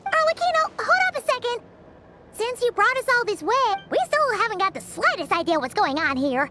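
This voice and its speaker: A woman voicing a tiny, excitable childlike fairy companion in a video game, expressive and full of exclamation. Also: very high voice, brisk, slight background noise, heavily acted. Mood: startled.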